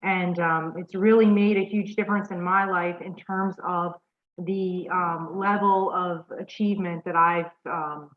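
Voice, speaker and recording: A woman's voice: lowish voice, fairly even intonation, a little slow. A woman speaking sincerely and gratefully on a video call. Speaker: dentist, alumna speaker. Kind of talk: virtual panel introduction. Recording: tinny phone-like audio.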